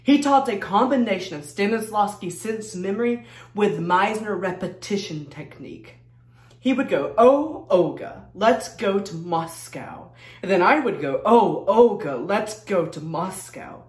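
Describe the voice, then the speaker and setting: A woman's voice: mid-range voice, animated, a little mellow, lightly acted. A woman playing a grandiose, flighty, self-adoring actress in a comic monologue, theatrical and effusive. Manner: theatrical, effusive, drawn-out exclamations. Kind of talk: comedic stage monologue. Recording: echoey room, distant microphone.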